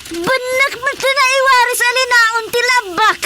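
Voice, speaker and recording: A very high-pitched, excitable mother frets and exclaims her way through a radio comedy. She stammers, flustered, with clear noise behind her. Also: moderate pace. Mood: agitated. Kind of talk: radio comedy drama acting.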